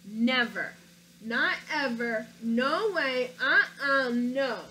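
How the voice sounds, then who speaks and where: A woman reading aloud as a stubborn young boy who insists, loudly and defiantly, that he will not move. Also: high voice, extremely slow, a little hiss or hum, heavily acted, rough recording.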